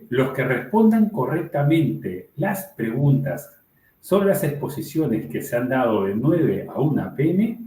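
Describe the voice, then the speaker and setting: A man's voice: medium pitch, mellow, distant mic. A man moderating an online conference, formally and politely making announcements and reading out audience questions, recorded in a roomy echo away from the microphone. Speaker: event moderator.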